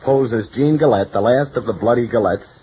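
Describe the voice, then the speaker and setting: A man's voice: mid-range voice, brisk, lightly acted. An actor playing a wry, skeptical male private investigator in a radio comedy, with a dry, sardonic delivery. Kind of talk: 1940s radio comedy acting. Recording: dull, noisy vintage radio recording.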